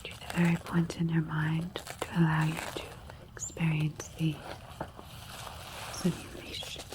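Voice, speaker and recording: A woman playing a gentle, soothing alien doctor in an ASMR roleplay, speaking in a breathy whisper with a clinical manner. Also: low voice, monotone, measured pace, poor audio. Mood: calm, clinical.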